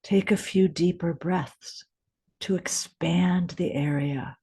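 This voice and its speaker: A woman guiding a meditation in a gentle, unhurried way, with deliberate pauses and a soft, breathy edge. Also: on the low side, steady tone. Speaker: meditation guide. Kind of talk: guided meditation, scripted instruction.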